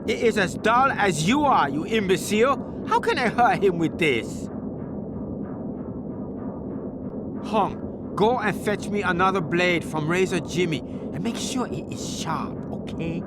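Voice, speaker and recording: An actor playing a menacing, imperious gang boss with a sneering manner in a video game, over loud noise. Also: male voice, high-pitched, lively intonation, unhurried, over loud music. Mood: sneering menace.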